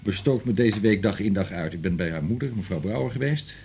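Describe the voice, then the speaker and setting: An actor playing a busy family doctor in a radio drama, with a husky voice and brisk, businesslike delivery. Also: male voice, lowish voice, lively intonation, a little mellow, a little hiss or hum. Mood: brisk, exasperated.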